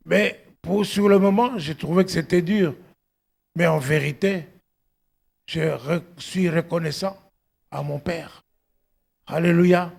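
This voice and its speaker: Husky, gravelly preacher, slow and emphatic. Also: male voice, fairly high pitch, darkish tone. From outside Belgium, arrived at eighteen. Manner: emphatic, punctuated with repeated exclamations and questions to congregation. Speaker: pastor. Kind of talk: exhortative sermon.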